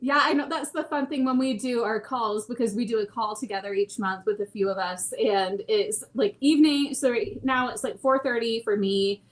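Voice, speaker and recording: A woman's voice: on the high side, moderate pace, few pauses. Bubbly woman podcast host. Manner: bubbly, laughs often, reads chat comments aloud. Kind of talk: live podcast chat.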